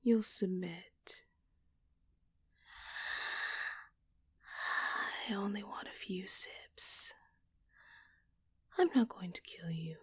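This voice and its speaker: A woman playing a dominant, hungry female vampire in an audio roleplay, taunting and menacing her prey in a condescending, breathy voice. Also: medium pitch, a little mellow. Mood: hushed, possessive. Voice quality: breathy, often dropping toward a whisper. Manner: slow, teasing, condescending, menacing. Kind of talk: audio roleplay acting, addressed to the listener.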